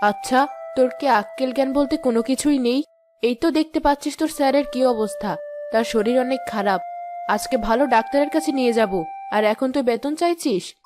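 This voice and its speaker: A woman voicing a rich, haughty, quick-tempered wife in a cartoon moral story, with an imperious manner. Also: high-pitched, lightly acted. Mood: angry scolding. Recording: music behind the voice.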